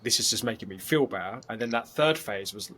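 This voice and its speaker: A man's voice: on the low side, expressive, brisk, a bit bright, slight background noise. A man co-hosting a podcast, thinking aloud thoughtfully with stumbling restarts. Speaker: podcast co-host. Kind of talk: casual chat.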